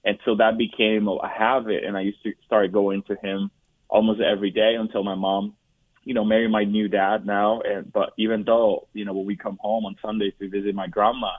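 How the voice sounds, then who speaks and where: Low-voiced man from Puerto Rico, phone-line sound. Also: flat delivery, slightly rough, no room sound. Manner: halting, many restarts and fillers, candid. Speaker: guest. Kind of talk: personal testimony interview. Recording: dry, tinny phone-like line.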